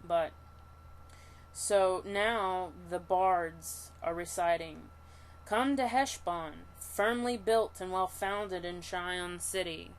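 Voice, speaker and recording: A woman with a self-described monotone voice, leading an online scripture study, reading passages aloud and talking them through with halting restarts. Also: on the low side, slight background noise, rough recording. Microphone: computer mic for an online session.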